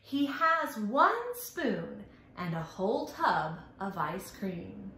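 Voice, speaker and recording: A woman's voice: medium pitch, big pitch swings, slow pace, sharp tone. A woman hosting a children's storytime, speaking in an exaggerated, sing-song way, asking questions and giving praise as she tells the story. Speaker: children's library storytime host. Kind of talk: interactive storytelling for young children. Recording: very echoey room.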